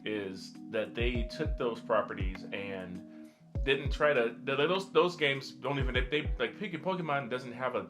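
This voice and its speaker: A man co-hosting a podcast in casual chat, haltingly rephrasing as he thinks aloud. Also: medium pitch. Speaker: podcast co-host. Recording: guitar music playing under the voice. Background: guitar music.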